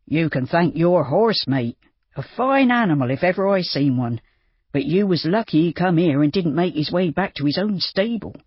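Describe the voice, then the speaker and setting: An eager young farm lad who speaks in rough, ungrammatical country talk, voiced by a storyteller, a touch slower than the narration. The voice is breathy. The sound is dry and dull. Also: medium pitch, lively intonation, no room sound. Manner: rural ungrammatical speech.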